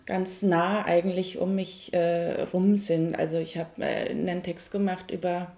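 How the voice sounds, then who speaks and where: A Franconian woman in her thirties answers interview questions with a mellow, somewhat low voice. There is a gravelly fry in her tone, and she searches for words, with fillers and restarts. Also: little pitch movement, measured pace. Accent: Franconian German. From Franconia, Germany. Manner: thoughtful, hesitant, many fillers and restarts. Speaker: cabaret artist, guest.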